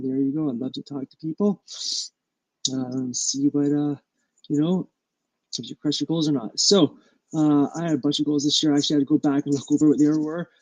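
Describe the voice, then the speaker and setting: Man, stuffy nose, fairly high voice, dry sound, halting casual live-stream talk full of fillers. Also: darkish tone, dry recording, low-quality recording. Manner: halting, full of ums and uhs. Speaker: small business owner hosting a live stream.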